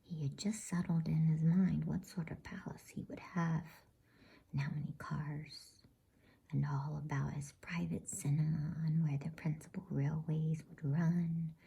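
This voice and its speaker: Very slow, breathy whispering woman. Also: deep voice, level tone. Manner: soft, slow, unhurried, soothing delivery. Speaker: bedtime story reader. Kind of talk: whispered ASMR storybook narration.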